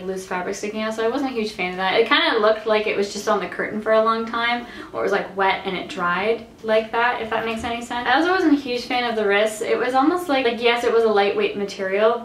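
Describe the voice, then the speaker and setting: A young woman from Canada chatting casually about clothes she tried on, slightly nasal and raspy from allergies, rambling with lots of fillers. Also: mid-range voice, echoey room, a little hiss or hum. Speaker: fashion vlogger. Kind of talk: casual try-on haul chat. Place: bright bedroom.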